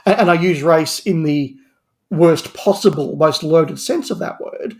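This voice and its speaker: A man with a fairly high voice talks as a podcast panelist. He is emphatic and analytical, often doubling up words as he builds an argument about drama. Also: unhurried, a little mellow. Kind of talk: discussion of audio drama.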